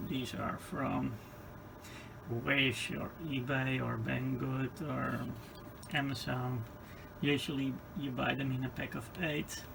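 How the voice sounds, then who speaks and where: A man giving a casual hands-on explanation in a halting, hesitant, matter-of-fact way. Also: medium pitch. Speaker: hobbyist presenter of radio repair. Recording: clear background noise.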